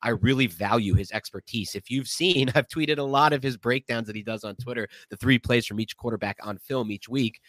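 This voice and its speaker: A man's voice: medium pitch, brisk, hardly any pauses, a bit bright, dry recording, poor audio. A man hosting a podcast with a naturally nasal voice, made stuffier by a head cold, talking in a chatty, upbeat way. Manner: chatty, upbeat, laughs mid-sentence. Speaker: podcast host. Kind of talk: casual podcast talk.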